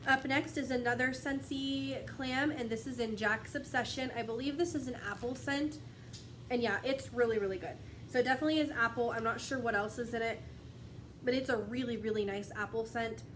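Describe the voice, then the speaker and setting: A woman's voice: on the high side, fairly even intonation, a little fast, fairly bright tone, slight background noise. A young woman vlogging casually from home, chatty and rambling, a little stuffy from a cold, often laughing between thoughts. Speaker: wax melt vlogger. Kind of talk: casual chat to camera. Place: at home, indoors. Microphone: tablet camera.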